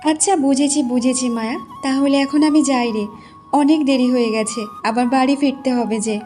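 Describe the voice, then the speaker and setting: A woman's voice: high-pitched, fairly even intonation, normal speed, dark tone, faint music behind, close to an everyday voice. A woman voicing a kindly, encouraging village friend in a cartoon, chatty and reassuring. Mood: friendly. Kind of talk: Bengali moral cartoon voice acting.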